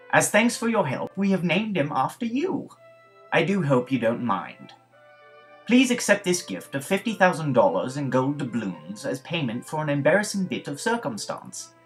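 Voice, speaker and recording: A man voicing a posh, effusively polite English gentleman, with a pompous, florid, old-fashioned delivery. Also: fairly high pitch, voice pushed up. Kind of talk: theatrical letter reading in animated story.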